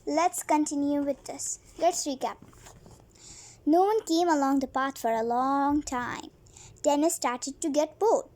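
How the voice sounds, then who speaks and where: Little girl reading a storybook out loud, super high voice, takes it slow, expressive. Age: child. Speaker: host of a read-along video. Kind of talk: storybook read-aloud with asides to viewers.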